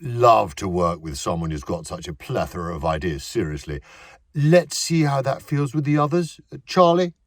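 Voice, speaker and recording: A man's voice: fairly low pitch, big pitch swings, moderate pace, dry recording, clean studio sound, pitched above the performer's own voice. A man voicing a charming young male theatre director who talks in a casual, matey way with many fillers. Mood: breezy.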